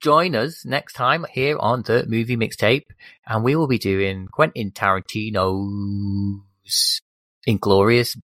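A man's voice: on the low side, slow pace, fairly bright tone, dry recording, clean studio sound. A man co-hosting a movie podcast with a playful, mock-announcer delivery. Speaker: podcast co-host. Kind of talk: casual chat.

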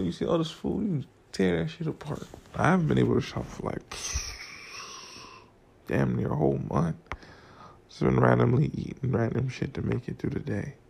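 Groggy gravelly young man rambling. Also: medium pitch, darkish tone, a little hiss or hum. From Florida. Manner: loose, profane, drifts between stories and life lessons. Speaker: vlogger. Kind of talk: casual rambling monologue.

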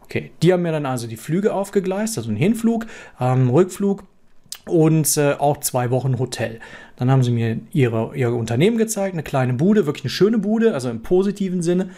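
A man's voice: on the high side, animated, a little fast, dark tone. A middle-aged man from Germany with a standard Hanover accent, casually telling his own story to camera with lots of fillers and restarts. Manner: conversational, frequent fillers and restarts. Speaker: software developer vlogger.

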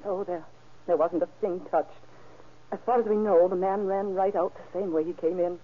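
An actress playing an anxious, loyal aunt in an old-time radio crime drama. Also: female voice, fairly low pitch, steady tone, normal speed, close to an everyday voice. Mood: earnest, protective. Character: anxious, loyal aunt defending her nephew. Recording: muffled, band-limited vintage radio recording.

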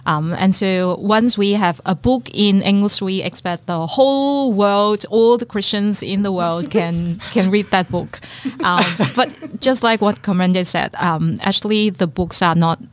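A woman from China, a guest, talking thoughtfully about translation in a relaxed panel conversation, with frequent restarts. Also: medium pitch, a little slow, few pauses, no room sound. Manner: thoughtful, restarts often, laughs easily.

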